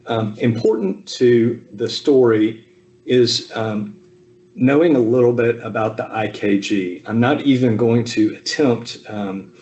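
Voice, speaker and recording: A man in his fifties from the American South, giving a relaxed personal talk over a video call with frequent ums and asides. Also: medium pitch, unhurried, dull audio. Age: 50s. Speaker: speaker at a Zoom talk. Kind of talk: personal family history talk.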